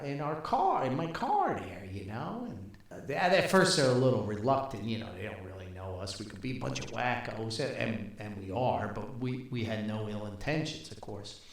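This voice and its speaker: The recording is heavily echoey and reverberant. An older man with a medium-pitched, animated voice tells anecdotes like a podcast host. He rambles along in a casual, folksy way, with big swings in intonation. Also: moderate pace, few pauses. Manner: rambling, folksy, chuckles at own stories. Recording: very echoey, reverberant room sound.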